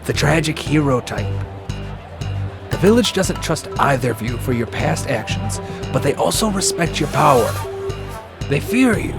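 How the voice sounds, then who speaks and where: A man's voice: on the high side, sing-song, normal speed, gravelly, pauses often. A man acting a shrewd, cynical ninja commander in an anime-style audio drama, speaking bluntly and matter-of-factly. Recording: music behind the voice.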